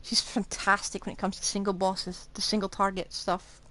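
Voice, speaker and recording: A woman's voice: mid-range voice, flat delivery, moderate pace, a bit bright. A woman giving casual, offhand live commentary while playing a video game. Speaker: game streamer. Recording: game music behind the voice. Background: video game music and combat sounds.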